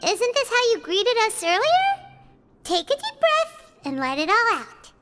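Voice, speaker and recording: A woman voicing a small, cheeky female fairy companion in a video game, with a squeaky childlike voice and a perky manner. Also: wildly swooping pitch, a little slow, very noisy, full character voice. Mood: pert. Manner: perky, speaks of herself in third person. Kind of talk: animated game voice acting.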